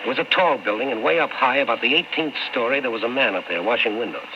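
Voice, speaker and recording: A man's voice: medium pitch, fast talker, lightly acted. An actor playing an impatient, tough, sceptical city man in an old-time radio drama, brusque and insistent. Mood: uneasy narration. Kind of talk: old-time radio drama acting with first-person narration. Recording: muffled, noisy old-time radio recording.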